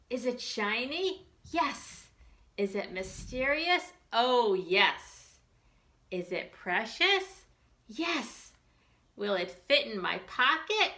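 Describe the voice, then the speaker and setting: Woman playing eager, high-voiced storybook child treasure hunter. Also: exaggerated voice. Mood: excited. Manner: excitable, expressive, questioning.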